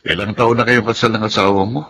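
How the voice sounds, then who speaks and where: A man's voice: lowish voice, steady tone, quick pace, pushed and bright, a little hiss or hum. A man with a Filipino accent playing a fatherly senior military officer in a radio drama, commanding yet friendly.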